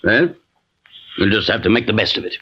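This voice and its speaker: An actor playing a haughty, suspicious German former soldier in an old-time radio drama, speaking formally and probingly with a German accent. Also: male voice, on the low side, lively intonation, brisk, husky. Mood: measured. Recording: noisy, muffled old radio recording.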